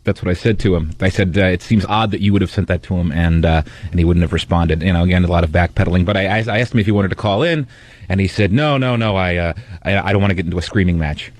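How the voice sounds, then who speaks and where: A man reporting on air for a radio show, halting and hesitant as he relays messages. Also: low-pitched, no room sound. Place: radio studio.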